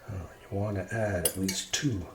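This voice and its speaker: Slow, low-voiced man in an echoey kitchen. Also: background noise. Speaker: home cook presenting a recipe. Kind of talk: ASMR cooking demonstration. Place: home kitchen, indoors. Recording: roomy kitchen echo, clattering dishes and clicks.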